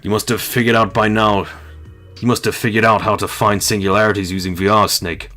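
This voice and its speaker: A man voicing a menacing, commanding video game villain in a grandiose, deliberate manner. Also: on the low side, level tone, pushed and bright, soft background music. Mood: taunting.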